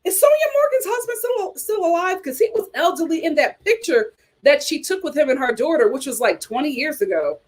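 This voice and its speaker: A woman hosting a casual live chat show, reacting to comments in an animated, gossipy, blunt way and repeating phrases for emphasis. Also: high-pitched, brisk. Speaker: host of a reality TV chat show.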